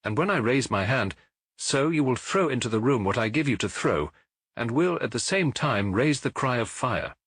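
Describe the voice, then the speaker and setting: A brilliant, commanding Victorian detective played by a male audiobook reader with an English accent. His pitch moves freely as he lays out orders with precise authority. The voice is a little husky and recorded very dry. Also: animated, normal speed, fairly bright tone, no room sound. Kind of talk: audiobook character acting.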